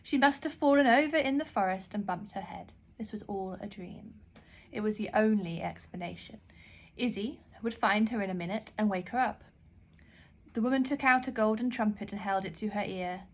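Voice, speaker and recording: A woman author reading her children's book aloud in an expressive storytelling style. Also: mid-range voice, normal speed.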